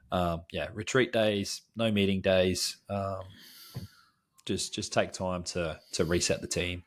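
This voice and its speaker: Hoarse, low-voiced man. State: hoarse, sick and losing his voice. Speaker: podcast co-host. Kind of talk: casual podcast chat.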